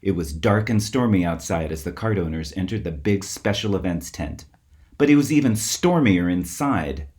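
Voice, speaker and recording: A man reading a children's story aloud as its author, with expressive, theatrical emphasis. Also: deep voice. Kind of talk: scripted storybook narration for children.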